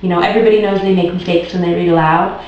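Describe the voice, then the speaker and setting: A woman's voice: on the low side, steady tone, rapid-fire. Woman reading aloud in a very echoey room. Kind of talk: children's storybook narration. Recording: very echoey room, distant muffled mic.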